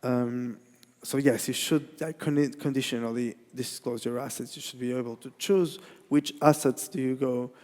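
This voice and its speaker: A man with a Portuguese accent, a native Portuguese speaker, gives a technical conference talk in a halting way, with many restarts and fillers. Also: mid-range voice, fairly even intonation, a little mellow. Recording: reverberant hall.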